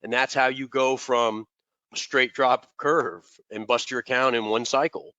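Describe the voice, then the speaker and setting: Man, congested, bright voice, dry sound, rambling casual teaching, desk mic. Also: medium pitch, fairly even intonation, a little slow, no room sound, dull audio. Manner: rambling, repeats words. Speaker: trading mentor. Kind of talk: casual live teaching session. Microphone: desk microphone.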